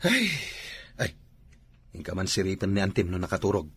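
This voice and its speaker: An actor with an Ilocano accent playing a needy, wheedling father in a comedy drama, his voice husky. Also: low-pitched, huge pitch swings, normal speed, a bit bright, full character voice. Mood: nagging. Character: father figure of the household, needy, wheedling comic relative.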